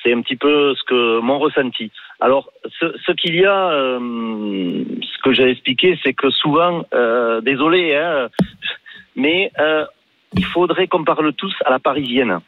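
A man with a southern French Toulouse accent, speaking haltingly as a radio caller over a phone line. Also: medium pitch, lively intonation, dry recording. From Toulouse, France. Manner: hesitant, halting. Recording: thin narrow phone line.

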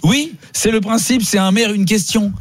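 A man hosting a radio show, speaking in casual slang with bantering, animated storytelling. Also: high voice, moderate pace, no echo, clean studio sound. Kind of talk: casual bantering talk and comic anecdotes.

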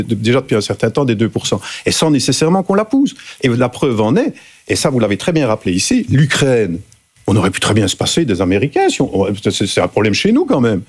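Husky, animated man arguing hard. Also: on the low side, fast talker. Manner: emphatic, argumentative, rising to sharp peaks. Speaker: debate panelist. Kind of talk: televised debate.

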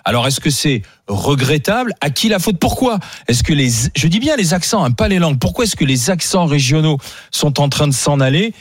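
A man hosting a talk radio debate, brisk and lively, steering the panel with questions. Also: a little high, dry recording, clean studio sound. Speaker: radio show host.

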